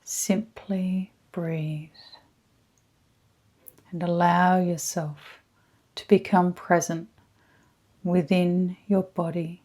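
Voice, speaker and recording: A woman guides a meditation in a very unhurried, measured voice. Her tone is mellow and hushed, staying quite even in pitch. She pauses often between short, soothing phrases, with some breathiness. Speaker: guided meditation leader, energy healer. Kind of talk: slow scripted guided meditation.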